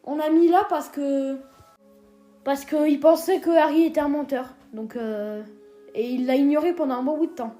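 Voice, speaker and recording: A woman's voice: high-pitched, steady tone, moderate pace, dark tone, faint music behind. A woman co-hosting a casual ranking video, teasing and joking with lots of hesitant fillers. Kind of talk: casual scripted chat.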